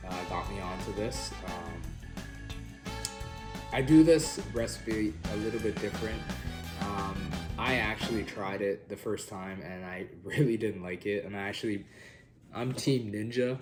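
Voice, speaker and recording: A young man with a raspy, slightly nasal voice from being sick, talking quietly to the camera while cooking in his home kitchen. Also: lowish voice, measured pace, music in the background. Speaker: cooking vlog host. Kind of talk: casual cooking demo. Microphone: camera on the counter.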